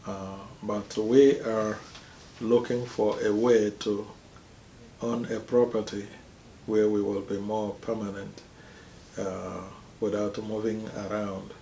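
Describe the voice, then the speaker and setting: Slow, gravelly Nigerian priest. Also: male voice, lowish voice, level tone, mellow, roomy sound, a little hiss or hum, rough recording. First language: Igbo. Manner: slow and hesitant, many pauses and fillers. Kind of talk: interview, telling his life story.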